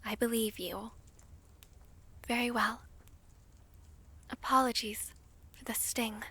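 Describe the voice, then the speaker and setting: A woman playing a gentle, lovesick vampire princess in an intimate romantic audio roleplay, speaking formally and tenderly in a soft, breathy half-whisper. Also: on the high side, steady tone, slow pace, heavily acted. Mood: coyly curious.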